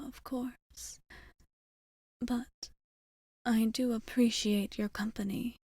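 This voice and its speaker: A woman voicing an ageless, refined vampire girlfriend in an intimate ASMR roleplay, speaking softly in a breathy half-whisper with formal, measured phrasing. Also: a little high, no room sound, exaggerated voice. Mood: wistful, hesitant.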